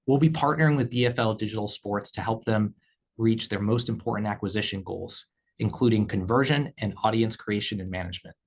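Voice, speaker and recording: A man speaking as a company executive, reading scripted earnings call remarks in a measured, formal way. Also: on the low side, husky. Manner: measured, formal read delivery.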